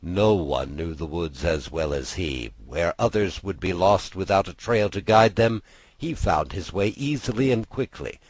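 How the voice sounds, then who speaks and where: Male storybook narrator, husky and low, measured dramatic phrasing, close dry sound. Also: lively intonation, dry recording. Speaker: audiobook narrator. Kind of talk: expressive scripted storytelling narration.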